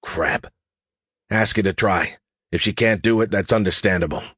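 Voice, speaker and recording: A man's voice: lowish voice, normal speed, a bit bright, husky, dry recording, clean studio sound. A man voicing an earnest, casual male military commander in an audiobook, informal and uneasy. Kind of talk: audiobook character voice.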